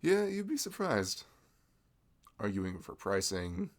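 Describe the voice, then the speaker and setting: Deep, gravelly young man who giggles a lot and chats with a lively lilt. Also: takes it slowly. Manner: chatty, giggly, friendly shopkeeper talk. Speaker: ASMR roleplay creator. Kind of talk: scripted audio roleplay, chatty.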